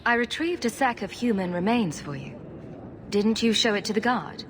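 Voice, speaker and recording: A woman acting a calm, tactful heroine in a video game, composed and direct. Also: medium pitch, normal speed, noisy background, natural voice. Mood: calm, concerned. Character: calm, diplomatic heroine, adult and reasonable.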